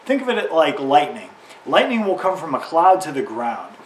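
A man's voice: fairly high pitch, sing-song, moderate pace. A man in his thirties giving an informal how-to explanation to camera as an instructor, in plain, simple terms. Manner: explanatory, uses simple analogies. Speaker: welding instructor. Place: garage or workshop beside a tractor. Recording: roomy, distant mic, faint background noise.

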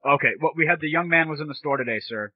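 A man's voice: fairly high pitch, quick pace, husky, dry recording. A sarcastic, foul-mouthed man hosting an irreverent comedy show with prank calls. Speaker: show host. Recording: dull lo-fi old internet radio audio.

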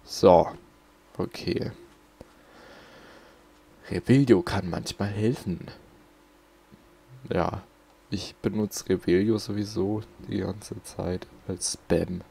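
Low, gravelly man commentating, unhurried. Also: fairly even intonation, dark tone. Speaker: let's player. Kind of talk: casual gameplay commentary. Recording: game music faintly behind. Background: faint video game music.

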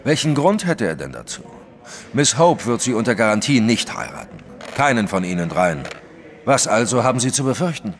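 An actor playing a big, brusque, skeptical detective in an audio drama, questioning in a dry, commanding way. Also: male voice, mid-range voice, big pitch swings, normal speed. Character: huge, brusque, skeptical French male detective. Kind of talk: German audio drama acting.

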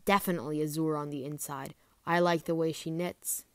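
A woman's voice: deep voice, fairly even intonation, fairly quick, dry recording, close to an everyday voice. Woman as a young female dragon, dreamy, odd, whimsical, dry recording.

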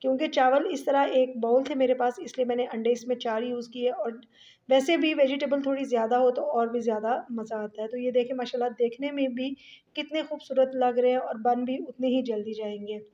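A woman's voice: high voice, brisk, mellow, muffled recording. A woman with a Pakistani Urdu accent narrating a home cooking tutorial, chatty and explaining each step with fillers. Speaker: cooking channel host.

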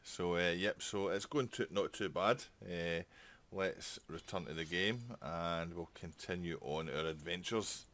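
Deep-voiced man gaming. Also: unhurried, few pauses, fairly bright tone. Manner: chatty, thinking aloud while playing. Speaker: gaming channel host. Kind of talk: casual let's play commentary.

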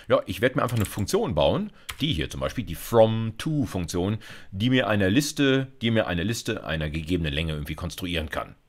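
A man in his fifties giving an informal, conversational screencast lecture at his computer, checking in often as he explains. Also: sits low. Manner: conversational, with frequent "okay" and "ja" check-ins. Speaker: university computer science lecturer. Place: indoors, recorded at a computer desk.

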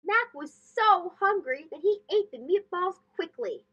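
A young woman reading a children's picture book aloud in a gentle, expressive, slow and clear way, recorded in her bedroom. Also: very high-pitched, highs cut off. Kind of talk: read-aloud storytelling for children. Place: bedroom with bookshelves and plush toys, indoors.

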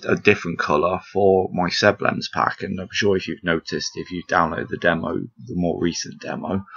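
A man with a low, husky and croaky voice chats loosely while playing a puzzle game, trailing off and teasing himself. The recording is a bit dull and lo-fi, with faint game music underneath. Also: pushed and bright. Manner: rambling, self-deprecating, chuckles often. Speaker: gameplay commentator. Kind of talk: casual gameplay commentary.

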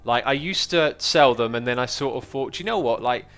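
A man's voice: mid-range voice, a little fast, fairly bright tone. A man with a British accent, chatting casually as a livestreamer in a friendly, rambling, anecdotal way.

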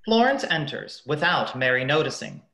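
A man's voice: a little high, sing-song, moderate pace, noisy background. A young man reading stage directions as the narrator of a radio play, in scripted narration. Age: young adult. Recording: echoey room.

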